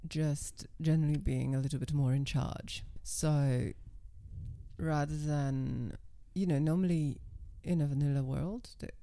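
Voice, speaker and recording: A middle-aged woman guest with a naturally low, calm and level voice, speaking in a measured, self-assured way. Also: creaky. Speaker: guest, professional dominatrix. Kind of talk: casual interview talk.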